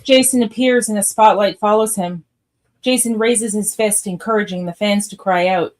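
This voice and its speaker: A woman's voice: mid-range voice, moderate pace. A woman narrator reading screenplay stage directions aloud in a scripted live read.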